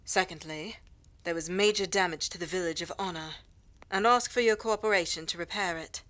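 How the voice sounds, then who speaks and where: Poised, bright-voiced reigning queen acted by a woman. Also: lowish voice, a little fast, lightly acted. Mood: firm, businesslike. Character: poised reigning fantasy queen, firm and formal. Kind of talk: fantasy audio roleplay acting.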